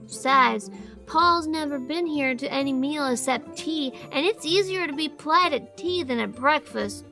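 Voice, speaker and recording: A very high, bright little boy's voice, cheeky and argumentative. He speaks in folksy childish grammar and keeps insisting on his point, as in an audio storybook drama, with music underneath. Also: normal speed. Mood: whiny protest. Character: cheeky, argumentative seven-year-old boy.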